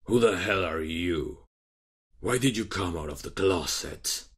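A man voicing a cold, brutal serial killer who breaks into a child's room, speaking in slow, low threats in an ASMR horror roleplay. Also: breathy edge. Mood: hostile.

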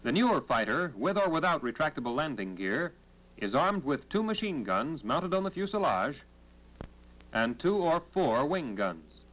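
An American man delivering scripted 1940s military training film narration in a formal, measured, instructional manner on a vintage film soundtrack. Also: a little high, big pitch swings, fairly bright tone, low-quality recording.

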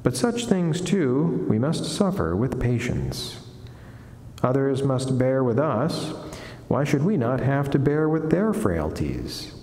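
Middle-aged man, friar at a lectern, formal devotional reading, expressive varied intonation, soft dark tone, reverberant chapel. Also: mid-range voice. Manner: measured, deliberate, formal. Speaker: friar reading a saint's life and reflection. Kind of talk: solemn devotional reading from a book. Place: wood-panelled chapel, indoors. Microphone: lectern gooseneck microphone. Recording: echoey chapel with long reverb.

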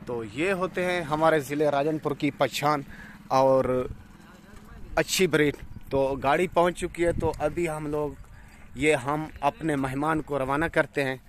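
Pakistani goat farmer with a raised pitch. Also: male voice, steady tone, a little slow, a little hiss or hum. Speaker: goat farm owner and channel host. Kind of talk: casual vlog narration. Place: outdoors on a farm, village road.

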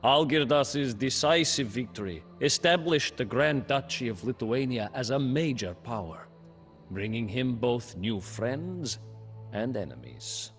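An actor narrating a game's historical campaign as a male chronicler, in slow, measured scripted storybook narration. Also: medium pitch, very animated. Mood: grave. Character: male captive chronicler telling a war tale. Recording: studio narration over faint music.

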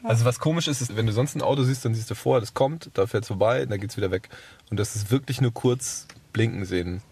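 A man's voice: medium pitch, fairly quick, a little mellow. A man chatting casually during an on-site reportage, recorded in the field. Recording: noisy field recording outdoors.

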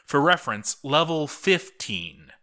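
A man reading a written chronicle aloud as a narrator, with deliberate, theatrical emphasis on names. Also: fairly high pitch, very animated, bright, forceful tone. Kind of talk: scripted narration, dramatic read-aloud.

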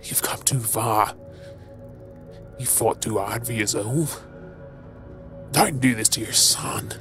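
Audio drama hero, male actor: wounded, protective werewolf, earnest strained delivery, unhurried, over clear background music. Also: medium pitch, close to an everyday voice. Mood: pleading. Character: battered, defiant werewolf boyfriend, fiercely protective. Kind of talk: audio roleplay drama acting.

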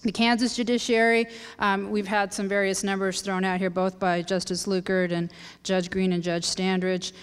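A woman's voice: medium pitch, little pitch movement, moderate pace, clean tone. A woman in her thirties, a law professor, speaking earnestly at a panel table with many filler words. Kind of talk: panel lecture. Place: large hall, seated at a panel table. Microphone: table microphone on a stand. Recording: reverberant lecture hall.

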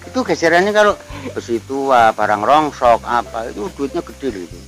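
A man's voice: mid-range voice, lively intonation, normal speed, music in the background, rough recording. An older man with a Ngapak Javanese accent, a veteran actor chatting as a guest in a rambling, musing way with frequent chuckles. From Banyumas region, Central Java. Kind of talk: casual reflective chat. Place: outdoor garden table at night.

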